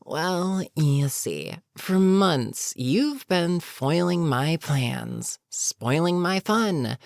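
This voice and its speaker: A woman playing an older, weary supervillain in an audio roleplay, menacing yet protectively concerned, with a measured, sardonic, sighing delivery. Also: on the low side, animated, a little mellow, no room sound, studio quality, exaggerated voice. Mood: smug, menacing. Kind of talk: dramatic audio roleplay monologue.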